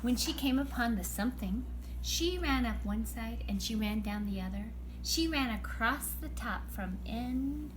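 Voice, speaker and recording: Woman, preschool teacher, storybook read-aloud, unhurried, sometimes whispering, noisy recording. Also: fairly high pitch. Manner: slow, gentle, dropping to whispers for emphasis. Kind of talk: reading a picture book aloud to young children. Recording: steady background noise.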